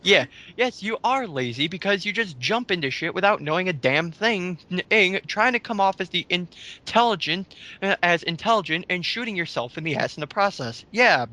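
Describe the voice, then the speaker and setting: A man with a fairly high, bright voice delivers mocking commentary. His pitch jumps around in a very animated way, and he is blunt, sarcastic and free with profanity. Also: moderate pace. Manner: blunt, sarcastic, foul-mouthed. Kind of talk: mocking group commentary on an online post.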